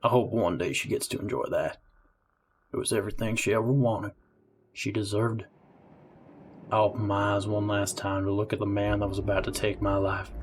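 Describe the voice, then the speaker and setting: A man's voice: lowish voice, fairly even intonation, moderate pace, slight background noise, close to an everyday voice. A man playing a grim, hard-boiled police detective who narrates his story in an amateur audio drama. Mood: wistful, resigned. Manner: somber first-person storytelling.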